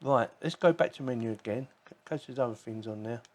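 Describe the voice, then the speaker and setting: A man's voice: medium pitch, a little fast, darkish tone. Casual, chatty man reviewing a gadget. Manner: informal, chatty, thinking aloud. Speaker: tech product reviewer. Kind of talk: casual unboxing and demo commentary.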